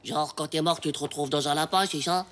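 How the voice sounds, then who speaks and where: An actor voicing a brash, excitable schoolboy in a cartoon, with a whiny, slangy way of talking. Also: medium pitch, fairly quick, lightly acted. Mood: pondering. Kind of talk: animated cartoon dialogue.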